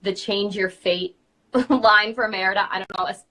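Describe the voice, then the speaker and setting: A young woman hosts an informal live chat in a medium-pitched voice. She is chatty and effusive, speaking loosely and conversationally as she would to a fellow performer. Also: steady tone, moderate pace, pushed and bright, low-quality recording. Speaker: host, princess performer.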